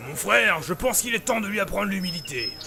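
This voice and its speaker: An actor playing a menacing village guard in a French audio drama, grandiloquent and eager to punish strangers. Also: male voice, high voice, sing-song, a little fast, vocal fry, a crowd in the background, full character voice.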